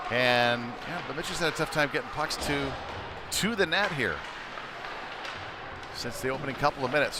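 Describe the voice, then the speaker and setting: Man calling hockey over clear rink noise. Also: mid-range voice. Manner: conversational, breaks into excited calls during scoring chances. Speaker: play-by-play sports commentator. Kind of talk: live youth hockey commentary. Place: indoor ice rink during a game. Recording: clearly noisy sports rink behind voice.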